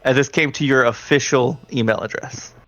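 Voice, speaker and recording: A man's voice: medium pitch, fairly even intonation, normal speed, fairly bright tone, no room sound. A man running a tabletop roleplaying game as game master, narrating casually and putting questions to players.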